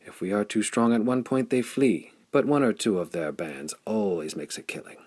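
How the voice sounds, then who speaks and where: A man's voice: on the low side, lively intonation, normal speed, darkish tone, breathy edge, no echo. A man voicing a grave, bitter commander in an audiobook, speaking in formal, emphatic declamation. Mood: defiant. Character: grave, bitter male commander warning of raiders.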